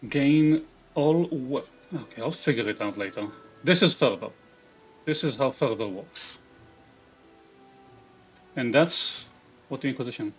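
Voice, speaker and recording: A man's voice: medium pitch, expressive, measured pace, pauses often, breathy edge, faint music behind. A man from Israel with an Israeli accent, streaming live, reading chat aloud and muttering half-sentences to himself as he builds a game character. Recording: dull lo-fi stream audio.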